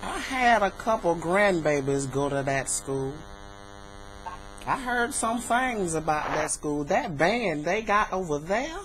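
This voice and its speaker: A woman's voice: fairly low pitch, expressive, takes it slowly, fairly bright tone. A woman putting on a folksy elderly church lady. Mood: chatty, nosy. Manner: folksy, sugary endearments, preachy. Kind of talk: improvised prank phone call. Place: indoor room at home. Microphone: webcam microphone. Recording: noisy, humming webcam recording.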